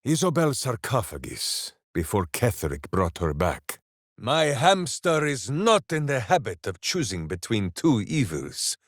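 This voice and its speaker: An actor playing a big-hearted, boisterous, simple-minded heroic ranger in a video game, declaiming theatrically. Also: male voice, mid-range voice, big pitch swings, unhurried, no room sound, studio quality. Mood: earnest, pondering. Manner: theatrical, declaiming, speaks of himself in third person. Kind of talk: video game character voice lines.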